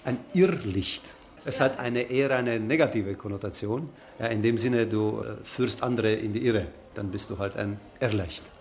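A pastor in his forties from Romania, answering an interview in a thoughtful way with pauses and fillers. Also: on the low side. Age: 40s. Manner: thoughtful, with fillers and some laughs.

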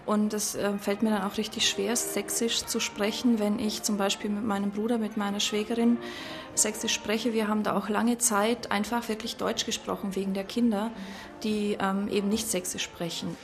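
A woman answering an interview slowly and deliberately, with fillers. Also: medium pitch, flat delivery. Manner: slow, deliberate, hesitant. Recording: clear music behind.

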